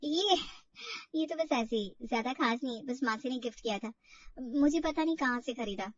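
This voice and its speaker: Insecure young woman played by a female narrator, high, fretful, defensive, slightly muffled storybook audio. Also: normal speed, distant mic, slight background noise, close to an everyday voice. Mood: hesitant. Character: insecure, self-doubting young woman from Old Delhi.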